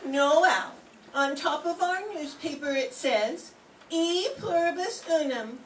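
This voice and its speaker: Brief: older woman as a ditzy, earnest girl reporter; high and unhurried; far, echoey amateur radio-play recording. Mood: dreamy. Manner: naive, earnest delivery of punchlines. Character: sweet scatterbrained young woman columnist. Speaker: student performer in radio-script class. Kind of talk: amateur old-time radio play reading. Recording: roomy classroom, far from mic, faint hiss.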